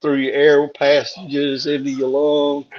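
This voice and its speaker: Hoarse guy talking easy and laid-back, a bit high, muffled lo-fi call sound. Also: completely flat delivery, slow pace, slight background noise. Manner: laid-back, plain-spoken, laughs things off. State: hoarse after a throat tube. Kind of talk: casual chat on a live stream. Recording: dull lo-fi call audio.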